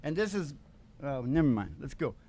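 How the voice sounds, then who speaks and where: A man's voice: medium pitch, big pitch swings, moderate pace, mellow. A man co-hosting a radio show in casual comedic chat, rambling and opinionated in a folksy way, with acoustic guitar. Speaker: singing radio show co-host. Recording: guitar playing behind the voice.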